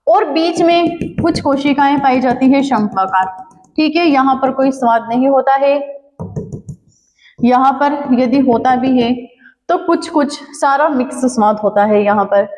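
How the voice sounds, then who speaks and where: A woman's voice: high voice, a little slow, a little mellow, big-room sound. A woman teaching a lesson, asking the class questions and then answering them herself. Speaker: biology teacher.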